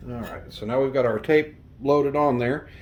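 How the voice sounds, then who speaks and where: A man's voice: mid-range voice, normal speed, lo-fi sound, a little hiss or hum. A man hosting a review channel, explaining things in a casual, conversational product walkthrough. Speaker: host of a vintage electronics review channel.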